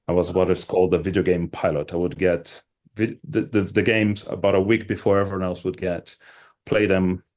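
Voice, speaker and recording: A man's voice: low voice, a little mellow. A man from Brazil answering interview questions over a video call, explaining at length in a thoughtful way with many fillers and restarts. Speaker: wine and whisky shop owner, guest.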